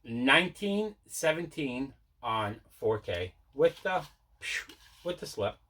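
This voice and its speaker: A man's voice: medium pitch, big pitch swings, takes it slowly, fairly bright tone. A man hosting a casual unboxing video, rambling and repeating himself as he shows off his collection. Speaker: video host showing mail and movie collection.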